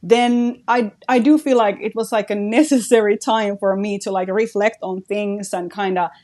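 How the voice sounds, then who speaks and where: A woman's voice: medium pitch, fairly even intonation, normal speed, a little mellow. A woman from Finland with a Finnish accent, a guest artist talking reflectively in a casual podcast chat, with "like" in nearly every phrase. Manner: reflective, says "like" very often.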